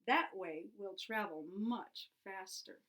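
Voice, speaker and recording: A woman's voice: mid-range voice, takes it slowly, fairly bright tone, slight background noise. A woman voicing an eager, confident schoolboy in a children's picture book.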